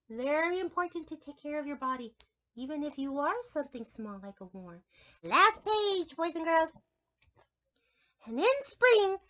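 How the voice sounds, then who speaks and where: A woman's voice: sits high, a bit bright, muffled recording. A woman preschool teacher reads a picture book aloud to young children, expressively drawing out words and addressing them directly.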